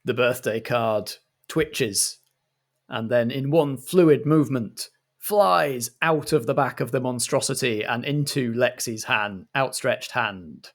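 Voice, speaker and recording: Self-important director-narrator, played by a man, mid-pitched, grandiose yet deadpan, for audio comedy. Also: takes it slowly. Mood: self-satisfied, moved. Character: self-important, sentimental northern male director-presenter. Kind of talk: comic scene narration.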